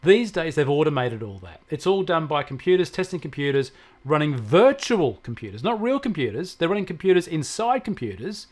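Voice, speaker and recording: A man's voice: a little high, big pitch swings, fairly quick. An Australian man giving conversational technology advice as an expert, emphatic and repeating points to stress them. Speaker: technology expert answering questions.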